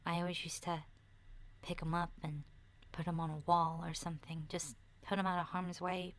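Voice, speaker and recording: A woman playing a gentle, sensitive child in a soft-spoken, often whispered ASMR roleplay about surviving, breathy, hesitant and confiding. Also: lowish voice. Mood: tender, earnest. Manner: hesitant, earnest, confiding, with sighs. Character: gentle, sensitive schoolchild surviving a zombie apocalypse.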